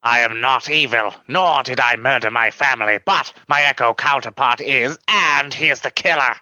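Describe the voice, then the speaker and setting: A man's voice: mid-range voice, moderate pace, pushed and bright, no echo, poor audio. A man playing an indignant, wrongly accused alien commander in a comedy sci-fi radio play, protesting emphatically.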